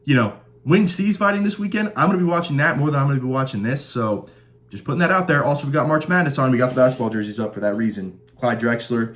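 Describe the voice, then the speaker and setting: A young man hosting a casual fight prediction show, joking and rambling, slightly stuffed up from a cold. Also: mid-range voice. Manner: laid-back, joking, rambling with fillers. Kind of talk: casual sports prediction chat.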